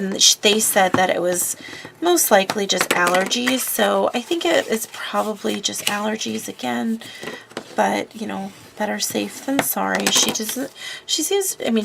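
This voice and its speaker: Craft host, woman, congested with a cold, unhurried rambling chat, some background hiss. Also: mid-range voice, creaky. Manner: rambling, talks while working with fillers. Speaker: papercraft video host. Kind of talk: casual chatty craft tutorial. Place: craft desk indoors.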